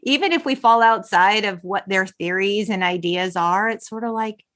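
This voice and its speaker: A woman's voice: mid-range voice, normal speed, fairly bright tone. A middle-aged woman hosting a podcast, talking through a film in a reflective, enthusiastic, conversational way. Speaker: podcast host.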